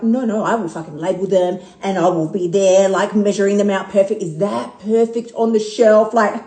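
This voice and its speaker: A woman's voice: medium pitch, a little mellow, echoey room. A woman in her fifties giving a blunt, casual motivational talk to viewers, swearing freely and laughing often. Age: 50s. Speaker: vlogger and motivational speaker.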